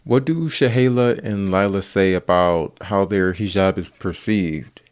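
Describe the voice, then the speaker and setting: A man hosting a news podcast, reading his narration in a brisk, measured way. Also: low voice, clear, resonant tone. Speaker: podcast host.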